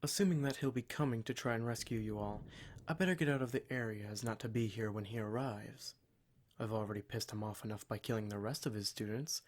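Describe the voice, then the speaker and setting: A smug male demon, acted out by a man, addresses his captive listener in an ASMR roleplay. His voice sits at a middling pitch. He speaks in measured, formal phrases, condescending and full of menace. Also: a little mellow, natural voice. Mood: coldly threatening.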